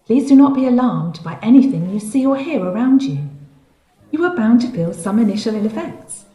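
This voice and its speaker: A woman playing a composed, reassuring starship announcer who speaks formally and with measured care in a comic sci-fi audio drama. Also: fairly high pitch, expressive, warm tone, roomy sound, lightly acted, rough recording. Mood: calm, reassuring.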